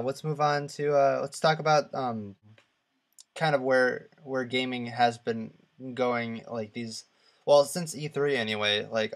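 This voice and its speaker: A man co-hosting a casual gaming podcast, talking in a halting, rambling and opinionated way. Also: medium pitch. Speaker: podcast co-host.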